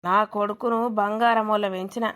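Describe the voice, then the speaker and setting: A woman's voice: mid-range voice, fairly even intonation, brisk, a bit bright, close to an everyday voice. A woman voicing a doting, stubborn old village grandmother in a cartoon comedy, boastful and defensive, with a Telangana accent.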